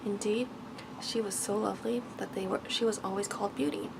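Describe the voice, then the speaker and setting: Soft, creaky-voiced woman reading ASMR bedtime story. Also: mid-range voice, fairly quick. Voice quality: soft, breathy, often slipping into whisper. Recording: noticeable background noise.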